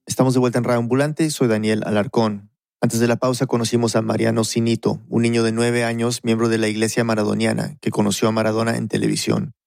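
A man hosting a radio documentary show, reading scripted links in a deliberate manner. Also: medium pitch, dry recording, clean studio sound. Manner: flat, gravelly, deliberate delivery. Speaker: host.

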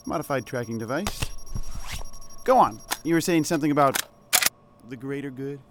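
An actor playing a sardonic, defiant rebel scientist in an audio drama, speaking in terse, coolly menacing lines. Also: male voice, medium pitch, moderate pace, background noise, lightly acted. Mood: cool, curt threat.

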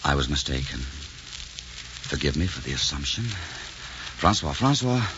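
A man's voice: extremely low pitch, sing-song, takes it slowly, heavily acted. An actor playing a proud, gallant, romantic Frenchman in theatrical 1940s radio style. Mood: cool, wounded. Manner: theatrical, impassioned. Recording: noisy, muffled 1940s radio recording.

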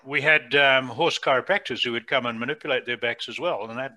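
A man's voice: medium pitch, fairly quick, pushed and bright. A man with an African accent who grew up in Africa, co-hosting a casual podcast and affirming his guest often. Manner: friendly, affirming interviewer. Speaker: podcast co-host.

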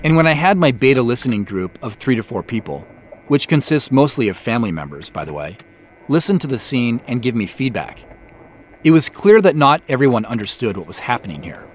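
A man's voice: mid-range voice, very animated, fairly quick, slight background noise. A man giving scripted behind-the-scenes commentary as the creator of an audio drama.

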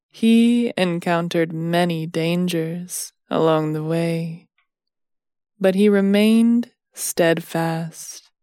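Very slow-paced woman narrating a bedtime story. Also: fairly low pitch, darkish tone, no echo, clean studio sound. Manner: soothing, measured, with long pauses between phrases.

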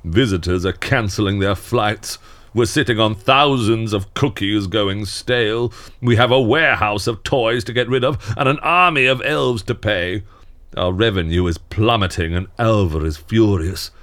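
A man acts out a world-weary Santa Claus in a scripted audio comedy. His voice sits fairly low, and he grumbles drily like someone thoroughly fed up with his job and wanting out. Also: moderate pace, fairly bright tone, lightly acted. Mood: bitter.

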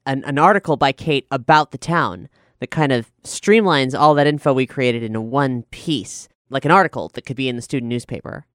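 A woman's voice: extremely low pitch, a little fast, fairly bright tone, no echo, studio quality. A woman podcast host and player talking in a casual, chatty style. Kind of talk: casual chat.

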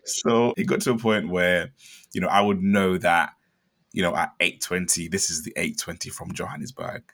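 A young man from Zambia, a company founder telling his story in a chatty interview, with lots of fillers and bursts of excitement. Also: low voice, moderate pace. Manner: chatty, many fillers, animated when excited. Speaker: startup founder guest.

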